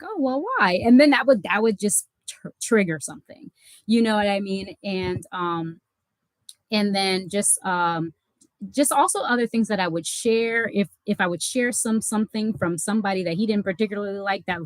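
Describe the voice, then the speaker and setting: A woman's voice: medium pitch, no echo. A young woman hosts her own channel and speaks to camera in an easy, candid way. She circles back and restarts her sentences, with plenty of "um" and "you know". Her mid-range voice is recorded close and dry. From United States. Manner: casual, many fillers and self-corrections. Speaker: life coach and channel host. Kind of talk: reflective personal talk to camera.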